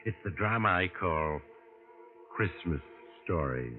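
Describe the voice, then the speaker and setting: An eerie radio drama host. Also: male voice, deep voice, lively intonation. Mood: ominous. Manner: ominous, theatrical, drawn-out delivery. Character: sinister male narrator host, eerie and teasing. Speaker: series narrator host. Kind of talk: 1940s radio drama narration. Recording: tinny old radio broadcast with music.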